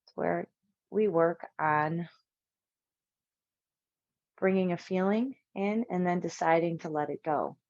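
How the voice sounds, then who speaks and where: A woman with a fairly low voice leads a guided meditation as a yoga instructor. She speaks in soothing, measured phrases separated by long pauses. The recording is somewhat dull and quite dry, with little room sound. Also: a little mellow, no echo.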